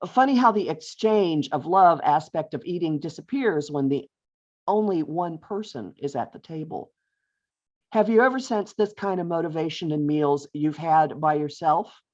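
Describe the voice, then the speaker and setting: A middle-aged or older woman reading her own humorous essay aloud with deliberate phrasing and wry emphasis, as an author on a video call. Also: sits low, expressive, a little mellow. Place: virtual author talk over video call. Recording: dull, lo-fi online call audio.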